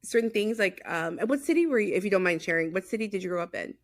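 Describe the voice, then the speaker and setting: A woman's voice: mid-range voice, animated, fast. A woman from Los Angeles hosting a podcast, chatting casually and eagerly affirming her guest. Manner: enthusiastic, lots of affirming backchannels. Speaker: podcast host. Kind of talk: casual conversational interview.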